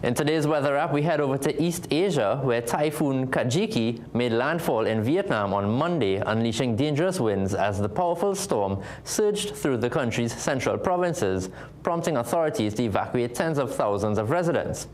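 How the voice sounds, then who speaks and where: A man reads world weather news in a steady, formal voice. His pitch stays at an ordinary middle level. He sounds like a standard news presenter. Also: normal speed. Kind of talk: news read.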